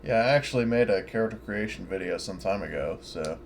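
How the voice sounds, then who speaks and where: Casual male tabletop gamer, lowish voice, halting delivery, faint noise behind. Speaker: tabletop game player. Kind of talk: casual chat on an online game session.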